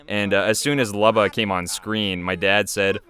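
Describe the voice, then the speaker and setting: A man's voice: on the low side, fairly even intonation, normal speed, bright, forceful tone. A young man, a web series creator and voice actor, giving casual, chatty, joking video commentary.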